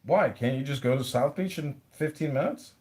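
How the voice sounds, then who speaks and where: Brief: a man candidate, blunt, rambling, plain mid-pitched voice. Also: moderate pace, a little mellow. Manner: blunt, rambling, swears freely. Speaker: mayoral candidate. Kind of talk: casual interview conversation.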